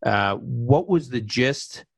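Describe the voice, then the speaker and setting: A man hosting a live conversation, moderating questions from the audience in a brisk, eager way that keeps jumping ahead. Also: medium pitch, animated, vocal fry, husky, dry recording. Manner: brisk, eager, quick to jump in. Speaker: interviewer and host.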